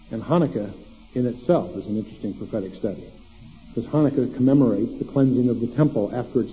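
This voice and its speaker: A man's voice: mid-range voice, warm tone. Man teaching scripture in a reverberant hall. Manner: teaching, asks and answers his own questions; lists references at a slow measured pace. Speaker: Bible teacher. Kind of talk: evening Bible lecture. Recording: dull long-reverb hall recording.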